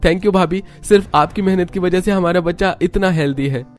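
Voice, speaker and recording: A man's voice: high voice, moderate pace, faint music behind, voice pushed up, natural voice. A man voicing an earnest young husband and father-to-be in a cartoon story. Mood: cheerful, proud.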